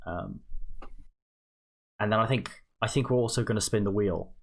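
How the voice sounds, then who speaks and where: A man's voice: fairly low pitch, moderate pace, gravelly. A young man hosting a charity livestream, chatting through casual announcements in a hesitant, unscripted way. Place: indoor home streaming setup.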